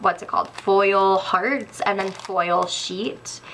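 A young woman showing sticker kits in a casual haul video, gushing and excitable, a little stuffy. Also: medium pitch, slow pace, a little hiss or hum, low-quality recording. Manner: gushing, excitable, laughs often. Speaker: planner sticker vlogger. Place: indoors at a wooden table.

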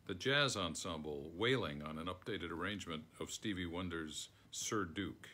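An older man with an American accent reads a prepared text aloud. His voice sits low, and his delivery is measured. It is recorded indoors on a phone, as if at home. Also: pushed and bright. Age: older, around 70. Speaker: foreword author reading aloud. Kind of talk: reading a written foreword aloud. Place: book-lined room at home, indoors.

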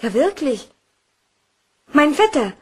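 An actress playing a nervous, hesitant young secretary, earnest and uneasy, in a crime radio play. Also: female voice, high-pitched, very animated, slow, a little mellow, heavily acted. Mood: flustered, evasive.

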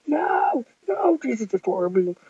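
A performer voicing a boastful male Jesus figure who threatens and fires magic beams, in a gruff, dropped voice on a dull lo-fi home recording. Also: medium pitch, very animated, a little slow, warm tone, dry recording, recorded from a distance. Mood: menacing.

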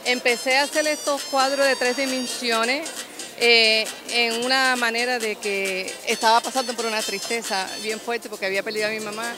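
Puerto Rican woman artisan over background music. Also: on the high side. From Puerto Rico. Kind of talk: event interview. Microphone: handheld mic. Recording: clear music behind.

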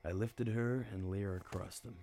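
An actor playing a world-weary, hard-drinking detective in a noir radio play, with wry, understated delivery. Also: male voice, fairly low pitch, fairly even intonation, moderate pace, vocal fry, mellow, a little hiss or hum. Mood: wry, brooding. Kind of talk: noir radio drama narration.

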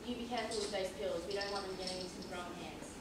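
A woman's voice: medium pitch, racing, close to an everyday voice. Woman acting a firm inspector in echoing theatre. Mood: dry, authoritative. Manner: polite but firm, questioning. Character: brisk, dry, authoritative police detective inspector. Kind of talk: amateur stage play acting. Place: large theatre stage, indoors. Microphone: far camera. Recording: echoey theatre from far off, noisy.

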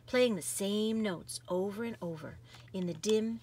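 A woman reading a picture book aloud in an expressive, dramatic manner. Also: medium pitch, unhurried, breathy edge. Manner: animated, dramatic, varies from hushed to exuberant. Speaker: storybook reader.

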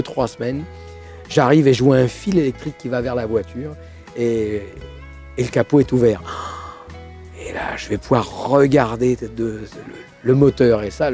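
Hoarse older man hosting a car show, warm low tone, chatty and halting. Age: 60s. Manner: hesitant, many fillers and restarts. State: hoarse from laryngitis. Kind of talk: casual storytelling to camera.

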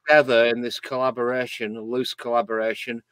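A man from Lancashire, England joining a panel call from home, relaxed and chatty. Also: mid-range voice, brisk, smooth, no room sound. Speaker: panel guest. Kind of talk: casual chat.

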